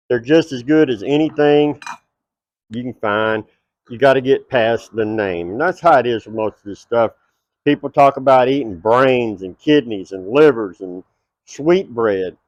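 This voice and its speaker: A man's voice: medium pitch, a little slow, a little mellow, no room sound, lo-fi sound. A middle-aged man with a Texas twang, hosting a cooking show at an outdoor backyard table and chatting straight to his viewers.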